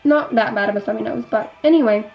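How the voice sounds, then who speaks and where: A woman's voice: fairly high pitch, fast talker, highs cut off, soft background music. A young adult woman with a slightly stuffy, sick-sounding voice and a sore throat, giving a casual, rambling life update as a vlogger. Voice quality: slightly congested nasal voice. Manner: rambling, repeats and restarts thoughts. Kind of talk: casual chatty life update while doing makeup.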